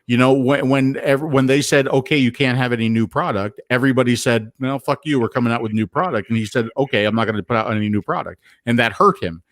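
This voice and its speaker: A man talking frankly as a podcast guest, halting and repeating words as he thinks. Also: medium pitch, no room sound, clean studio sound. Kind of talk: casual chat.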